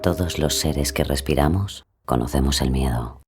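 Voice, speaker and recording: A man playing an intimate, ominous horror narrator who speaks deliberately and addresses the listener directly in scripted narration. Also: rumbling low, music in the background.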